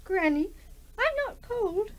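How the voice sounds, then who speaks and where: A woman's voice: very high voice, lively intonation, slow pace, heavily acted. A woman playing a timid, jumpy little child in a 1960s radio drama, anxious and piping.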